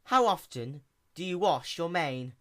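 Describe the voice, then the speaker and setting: A woman's voice: fairly low pitch, lively intonation, unhurried, pitched above the performer's own voice. A woman voicing a nerdy young female sea pony mechanic who explains things plainly in a story reading. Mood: explaining, incredulous.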